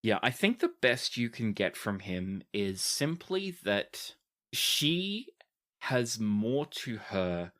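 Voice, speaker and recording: Game master, slow deliberate pace, animated swinging pitch, dramatic tabletop narration. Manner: deliberate, dramatic scene-setting.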